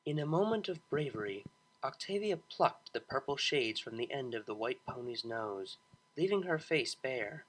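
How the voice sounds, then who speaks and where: A man narrating a piece of fan fiction in an expressive storytelling style. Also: mid-range voice, moderate pace, low-quality recording. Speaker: audiobook narrator.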